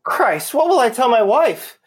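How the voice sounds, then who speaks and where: Hapless male undercover cop for an audio play, played by a man: blunt, sulky, incredulous, with widely swinging pitch. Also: big pitch swings, measured pace, husky, heavily acted. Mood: dejected. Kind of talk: two-person audio play acting.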